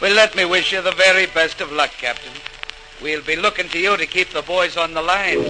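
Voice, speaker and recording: A man's voice: sits high, fairly quick, music in the background, close to an everyday voice. An actor playing a chatty, friendly, deferential British pub barman in a hearty 1940s radio style. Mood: cheery. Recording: noisy, crackly 1940s radio transcription.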